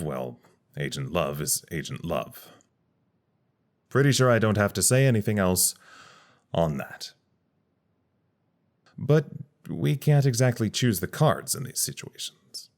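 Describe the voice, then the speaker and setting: Actor as tired stranded guy doing a log, real deep n slow, wry, musing, audio drama. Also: darkish tone. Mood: wry, frustrated. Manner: musing, wry, pausing between thoughts. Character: weary, reflective man stranded at a secret research facility.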